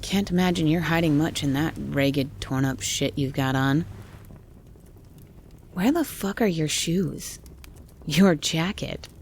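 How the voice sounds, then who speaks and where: A woman playing a gruff, wary cabin dweller, blunt and grumpy, in a horror audio roleplay. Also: low-pitched, slow pace, long pauses, a little hiss or hum. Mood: grudging, wary. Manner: blunt, grumpy, foul-mouthed.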